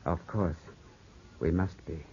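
A man's voice: low voice, slow pace, mellow, slightly rough, exaggerated voice. An actor playing an anguished, suspicious young Englishman in love, intense and brooding, in 1950s radio drama style. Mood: subdued. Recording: dull 1950s radio broadcast, music behind.

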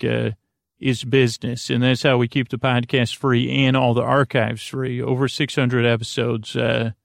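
Creaky, rambling man hosting a sleep podcast. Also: medium pitch, dry recording, studio quality. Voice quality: creaky, dulcet. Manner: rambling, drifting off topic, many fillers and stumbles. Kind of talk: meandering bedtime podcast intro.

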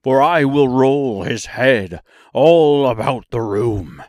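Storybook lion, acted by a male reader: bold, loud, boastful bluster. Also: medium pitch, lively intonation, takes it slowly, dry recording, studio quality.

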